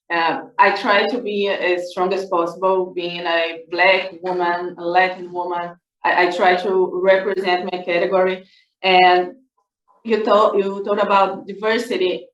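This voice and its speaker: A woman's voice: medium pitch, muffled recording. A Brazilian woman with a Brazilian Portuguese accent talks earnestly on a discussion panel. Her voice sits in the middle range. It sounds roomy and a little distant from the microphone. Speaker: student scientist.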